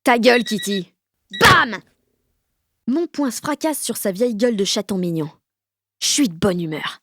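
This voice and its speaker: A woman's voice: medium pitch, dry recording, studio quality, natural voice. An actress with a French accent playing a cocky sixteen-year-old girl who narrates her day in slangy, sweary audio fiction. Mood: cocky, brash. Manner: slangy, sweary, punchy inner monologue. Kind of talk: first-person audio fiction monologue.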